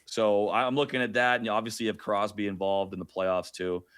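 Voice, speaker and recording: A man's voice: lowish voice, flat delivery, a little fast, a bit bright, clear, resonant tone. A man talking sports conversationally as a guest play-by-play announcer, with informal storytelling and wry asides.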